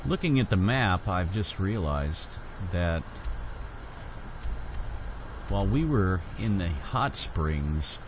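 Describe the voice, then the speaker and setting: Male gaming host, very low voice, slow understated commentary, clear ambient noise behind. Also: sing-song. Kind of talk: roleplay game commentary.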